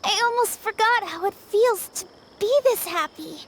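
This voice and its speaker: A woman's voice: extremely high pitch, moderate pace, slight background noise. A woman playing an earnest, dreamy, tender-hearted young girl in a video game, speaking in a reflective, wondering way. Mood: moved, grateful. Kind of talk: video game character acting.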